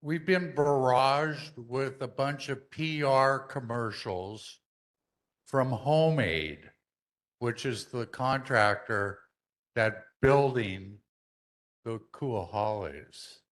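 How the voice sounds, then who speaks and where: A man speaks slowly and deliberately during public comment at a neighborhood meeting. He presses officials with pointed, skeptical questions. His pitch sits in the middle range. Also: a bit bright.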